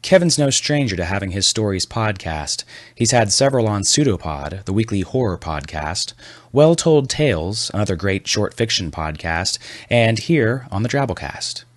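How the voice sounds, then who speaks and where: A man's voice: fairly low pitch, animated, moderate pace, no echo. A man hosting a scripted comedy podcast with a wry, mock-serious delivery. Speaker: podcast host.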